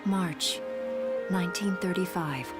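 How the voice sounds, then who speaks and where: A woman playing a solemn war chronicle narrator in a video game, reading scripted narration in a measured, formal way. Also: lowish voice. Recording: loud music behind the voice. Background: music.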